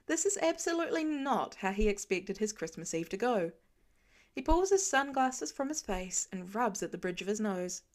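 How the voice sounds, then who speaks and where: A woman narrating a fan story aloud in an expressive storytelling manner. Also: mid-range voice, moderate pace. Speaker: podfic reader. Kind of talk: audiobook fan reading.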